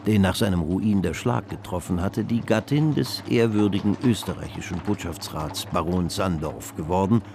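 A man narrating a literary radio play in a measured, emphatic storytelling manner. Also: low voice, noisy background. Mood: measured narration. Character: storyteller narrating a 19th-century stock exchange novel. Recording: studio radio play with music underneath.

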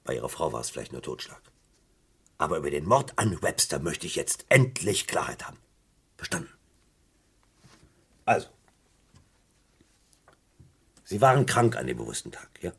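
An actor playing a stern, impatient British police interrogator in a German radio crime play, with a commanding, sarcastic way of questioning. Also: male voice, a bass voice, very animated, a little fast, creaky, pauses often, fairly bright tone, husky, exaggerated voice. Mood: stern, insistent.

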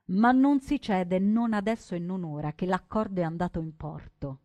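A woman narrating a story in the first person as a stage actress, solemn and deliberate, with dramatic pauses. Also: on the low side, warm tone. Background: acoustic guitar music.

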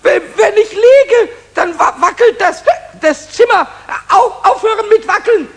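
A man's voice: very high voice, a little slow, a bit bright, exaggerated voice. A man voicing a cheeky, childlike little kobold in a children's radio play, tipsy and defiant, stammering and slurring with hiccups. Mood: defiant, drunk. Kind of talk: 1970s German children's radio play acting.

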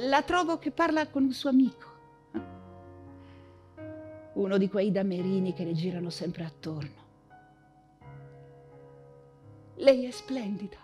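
An actress playing an anguished, proud woman writer in wartime Paris, in a theatrical monologue with halting, insistent repetitions. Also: sits high, a little mellow. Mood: wounded, remembering.

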